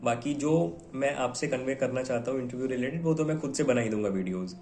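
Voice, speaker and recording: A young man speaks to viewers in a relaxed, conversational way, mixing Hindi with English words. His voice sits at an ordinary middle pitch. The room adds a noticeable echo, with faint background noise. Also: fast talker. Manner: conversational, mixes Hindi with English words, fillers like "theek hai". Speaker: bank officer giving exam advice. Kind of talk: casual advice talk to viewers. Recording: echoey room.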